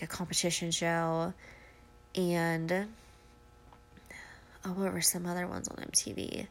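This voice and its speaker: A woman's voice: lowish voice, vocal fry. A woman in her twenties, nasal and stuffy from a cold, chatting casually and rambling apologetically on her solo podcast from home. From Ohio, USA. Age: 20s. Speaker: podcast host. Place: at home beside her dog.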